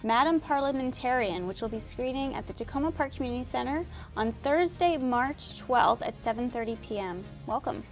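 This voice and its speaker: A woman hosts a community TV interview, her voice set a little higher than most. She asks her questions in a measured, polite way. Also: lively intonation, faint music behind. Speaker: TV interview host.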